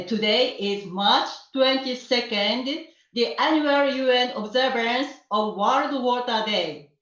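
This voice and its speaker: Woman, Japanese accent and native language, higher-pitched, careful prepared delivery, distant muffled call audio. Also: a bit bright, very echoey. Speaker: panel guest, water sommelier. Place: online video call.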